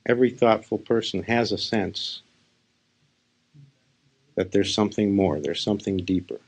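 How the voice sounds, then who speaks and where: Deep, gravelly man guiding a meditation. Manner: unhurried, pausing between short phrases. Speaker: spiritual teacher. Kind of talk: guided meditation talk. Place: live session.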